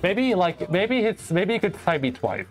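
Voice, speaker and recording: A man's voice: high-pitched, big pitch swings, normal speed. A young man casting a fighting game, bantering in a loose, teasing, slangy way. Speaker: esports caster. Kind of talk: casual game commentary chat. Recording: faint music behind.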